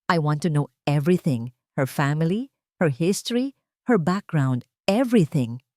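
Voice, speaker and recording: A woman's voice: low voice, animated, normal speed, darkish tone, no echo, studio quality. A woman narrator voicing a cold, commanding male executive who gives curt orders in an audio story.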